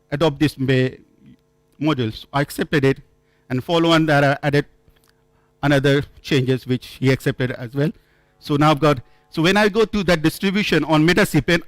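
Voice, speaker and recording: Man giving a halting conference talk. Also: a little high, dry recording, low-quality recording. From India. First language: not English. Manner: halting, frequent restarts and self-corrections, storytelling. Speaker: conference speaker. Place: conference room.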